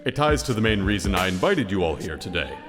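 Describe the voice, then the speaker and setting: Earnest, hesitant cartoon mentor, male actor: animated intonation, encouraging and self-doubting, music behind. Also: sits low, fast. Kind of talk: animated comedy voice acting. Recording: long reverb, music behind.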